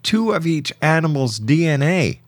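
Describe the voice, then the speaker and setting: A man's voice: fairly high pitch, big pitch swings, normal speed, no room sound. A man hosting a talk radio interview, speaking as the presenter with his guest. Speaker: radio show host.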